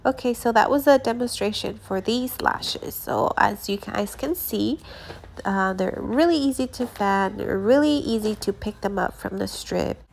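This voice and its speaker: A woman's voice: medium pitch, a little slow, creaky, over music. A young woman, congested from allergies, chatting casually as she reviews her lash products, with many fillers and restarts.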